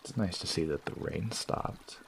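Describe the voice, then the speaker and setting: A man voicing a sly traveling performer who teases and probes with smooth talk in an audio drama. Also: sits low, normal speed, background noise. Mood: teasing, prying. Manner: teasing, probing, smooth-talking. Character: sly prying male traveling performer, teasing.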